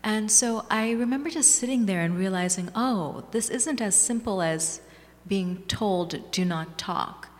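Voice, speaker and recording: A woman from Bangladesh, an author speaking as an interview guest in a small community gathering, reflective, measured and earnest. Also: mid-range voice. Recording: long reverb in a hall.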